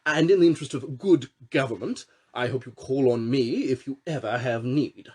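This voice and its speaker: Fidgety, fawning little official, read by a man, husky and timid. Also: medium pitch, moderate pace, darkish tone, pitched above the performer's own voice, natural voice. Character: short fidgety nervous male minister, a toady.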